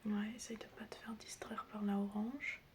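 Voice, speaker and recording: A woman doing an ASMR roleplay in a breathy whisper mixed with soft speech, teasing and chatty as she addresses the listener like a close friend. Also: mid-range voice, fairly even intonation, moderate pace. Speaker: ASMR creator role-playing as a doctor and best friend. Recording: clear background noise with clicking sounds.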